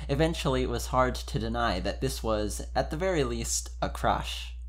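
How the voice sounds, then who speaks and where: A man's voice: mid-range voice, animated, moderate pace. A man speaking informally and expressively, as a podcast member giving an amused dramatic reading of fan fiction.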